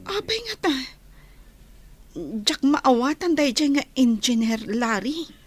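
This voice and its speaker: A polite, puzzled village eatery keeper in a radio drama, with an Ilocano accent. Her high voice swoops expressively and takes its time, full of questions. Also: lively intonation, takes it slowly, dry recording. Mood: curious, puzzled.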